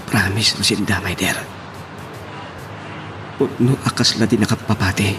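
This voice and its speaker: A man's voice: lowish voice, fairly even intonation, a little slow, lightly acted. A man playing an ardent, sweet-talking young lover who woos and pleads in a radio romance drama. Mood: pleading, reassuring. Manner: wooing, pleading. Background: music.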